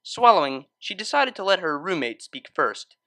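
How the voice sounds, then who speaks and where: A man's voice: sits high, very animated, moderate pace, vocal fry, sharp tone, no room sound, clean studio sound. A man narrating a scripted story in a dramatic, literary style. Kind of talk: expressive scripted fan fiction narration.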